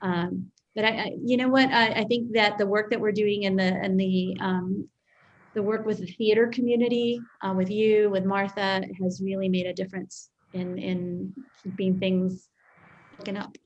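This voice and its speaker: A woman who founded a theater, speaking haltingly with repeated words and easy laughs in a video-call interview. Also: mid-range voice, level tone, highs cut off. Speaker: theater founder and artistic director.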